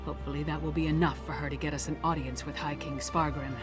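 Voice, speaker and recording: A woman's voice: deep voice, fairly even intonation, fast, music in the background, natural voice. An actress playing a blunt, hot-tempered but dutiful warrior woman in a video game, forthright and plain-spoken. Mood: matter-of-fact. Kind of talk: scripted video game dialogue.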